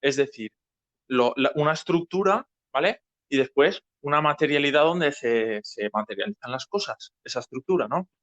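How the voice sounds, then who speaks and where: A man's voice: on the high side, lively intonation, moderate pace, a bit bright, muffled recording. A man with an accent from Spain, explaining things casually as a host and often checking that he is being followed. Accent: Spain Spanish. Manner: didactic, checking understanding with tags.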